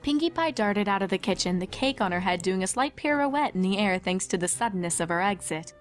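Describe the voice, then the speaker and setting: A woman's voice: mid-range voice, animated, brisk, soft background music. A woman reading scripted story narration as the narrator of a fan fiction. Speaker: fanfic narrator.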